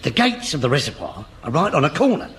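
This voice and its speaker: Cheeky, high-voiced London spiv. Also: male voice, wildly swooping pitch, fast, fairly bright tone, full character voice. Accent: London English. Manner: cheeky, breezy. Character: cheeky, chatty cockney spiv on guard duty. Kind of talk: radio sitcom acting. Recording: very noisy old radio recording.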